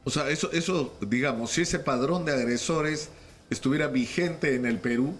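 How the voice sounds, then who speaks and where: A man's voice: mid-range voice, soft background music. A man with a Peruvian accent interviewing on radio, stumbling and repeating words as he builds his argument. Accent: Peruvian Spanish. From Peru. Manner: halting, repeats words, argues his point.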